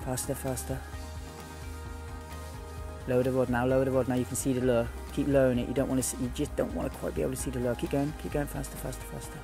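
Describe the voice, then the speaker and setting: A man guiding a beginner through fishing by a lake, giving quick, repeated instructions. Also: mid-range voice, flat delivery, vocal fry, music in the background. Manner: urgent, repetitive instructions. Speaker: fishing guide. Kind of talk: on-the-spot coaching. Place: outdoors by a lake.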